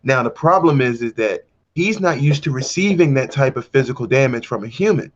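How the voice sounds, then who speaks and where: A man's voice: mid-range voice, expressive, a little fast. A man on an online call, laying out his argument step by step in a casual discussion. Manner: explanatory, lays out arguments step by step. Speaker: guest. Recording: dull lo-fi call audio.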